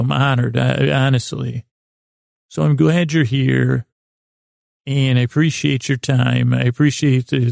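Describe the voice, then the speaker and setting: A man with a creaky, gravelly voice hosting a sleep podcast, meandering and halting through a self-deprecating, soothing bedtime monologue to the listener. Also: mid-range voice, darkish tone, no room sound, studio quality.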